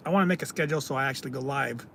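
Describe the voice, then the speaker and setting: A man from California, hosting a casual live stream, reading out viewer comments and answering them in a halting way with many fillers. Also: medium pitch, fairly bright tone, a little hiss or hum. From California, United States.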